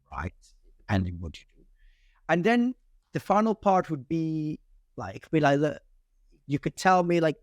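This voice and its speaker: A man's voice: fairly high pitch, no echo, studio quality. Italian-accented man explaining sales tactics. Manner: animated, talks fast with frequent restarts. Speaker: sales guest. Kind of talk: casual podcast interview.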